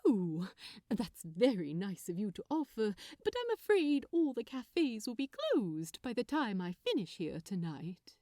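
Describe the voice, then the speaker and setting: Storybook role for a woman: a courteous, soft and prim gentleman angel, slightly smug, measured pace with expressive intonation. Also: mid-range voice, no room sound, studio quality. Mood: kindly, polite.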